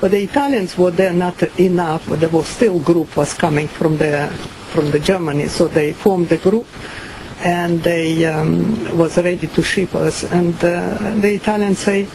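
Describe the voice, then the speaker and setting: An older woman from Ukraine with a Ukrainian accent, giving slow, halting personal testimony full of fillers and pauses. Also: on the low side, noisy background. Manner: many pauses and fillers, non-native phrasing. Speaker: survivor giving a talk.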